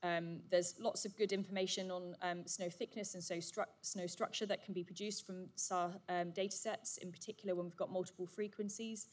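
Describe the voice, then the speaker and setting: A woman giving a brisk, upbeat summary at a conference, with frequent "um" fillers. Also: fairly low pitch, fairly even intonation. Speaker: session chair presenting summaries. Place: conference hall. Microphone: handheld or podium microphone.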